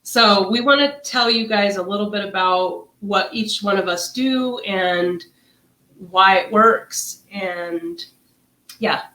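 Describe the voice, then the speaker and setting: A woman's voice: medium pitch, slow, sharp tone. A middle-aged woman who sounds nasal and stuffed up, co-hosting a casual wellness livestream and talking in a chatty, rambling way with many fillers. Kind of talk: casual chat. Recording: echoey room.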